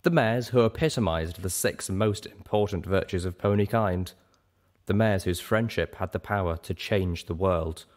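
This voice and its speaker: A man's voice: low-pitched, normal speed, darkish tone. A man reading a story aloud as a scripted narrator. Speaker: audiobook reader and podcast host.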